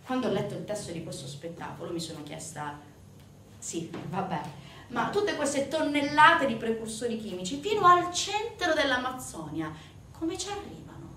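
Woman's animated theatrical monologue, roomy and distant. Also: fairly high pitch, normal speed. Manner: emphatic, declamatory, rhetorical. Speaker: stage actress performing a monologue. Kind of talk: theatrical narrative monologue addressed to a live audience. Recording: roomy, distant mic, faint noise.

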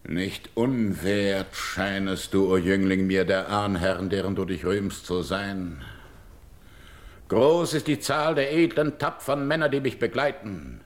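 A man's voice: low voice, a little slow. An actor playing a proud, stern, ageing king who holds back his anger, in weighty declaimed classical verse for radio. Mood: stern, commanding.

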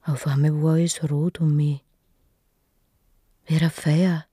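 Slow, halting, devout Austrian mother. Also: sits low, level tone, dark tone, breathy edge, no room sound, studio quality, exaggerated voice. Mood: haunted, dazed. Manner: halting, repetitive, childlike simple wording. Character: devout, mentally confused mother confessing to killing her daughter. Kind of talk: naturalistic radio drama monologue.

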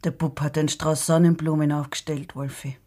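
Casting: young farm woman, tender yet firm, lowish voice, radio drama. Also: flat delivery, moderate pace, mellow.

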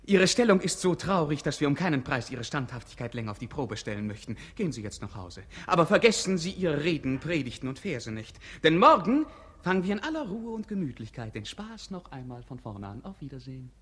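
An actor playing a young, playful prince with courtly, ironic, elegantly theatrical manners in a radio play. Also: male voice, fairly high pitch, very animated, brisk, a bit bright. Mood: gracious, ironic. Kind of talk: 1950s German radio play acting.

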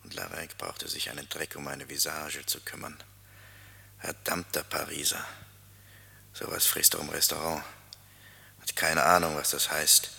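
An actor playing a grumbling, bossy lost soldier in a radio play, with coarse, needling talk. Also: male voice, extremely low pitch, measured pace, gravelly, pauses often, sharp tone, breathy edge, full character voice. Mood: bitter muttering. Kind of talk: German radio play dialogue.

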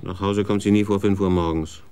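A man's voice: sits low, steady tone, fairly quick, dark tone, smooth, natural voice. An actor playing a world-weary male TV executive in a radio drama, blunt and sardonic.

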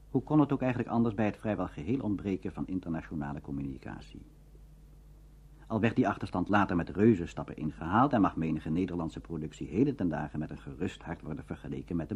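A man's voice: sits low, a little mellow, husky. A man with a Netherlands Dutch accent presenting a scripted radio documentary, on a dull recording.

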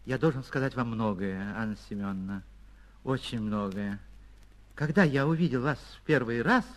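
Actor doing an ardent, pompous young suitor. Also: male voice, medium pitch, normal speed, lightly acted. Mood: ardent, hushed. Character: earnest young suitor, ardent, pompous, easily flustered and outraged.

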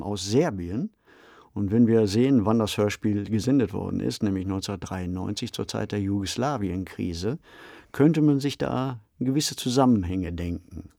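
A middle-aged man co-hosting a podcast discussion in a measured, reflective, explanatory manner. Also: fairly low pitch, creaky, warm tone, no echo, studio quality. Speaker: podcast co-host and author.

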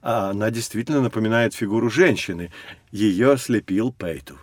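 An actor playing a patient, knowledgeable guide, explaining things to children in measured storytelling for an educational audio drama. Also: male voice, fairly low pitch, dry recording, close to an everyday voice. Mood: patient, informative. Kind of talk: scripted educational narration for children.

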